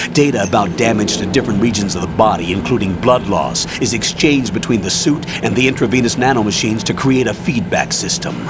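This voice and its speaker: An actor playing a stern middle-aged American military colonel in a video game, briefing an agent with clipped, authoritative instructions. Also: male voice, fairly low pitch, noisy background, lightly acted. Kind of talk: video game cutscene acting, mission briefing.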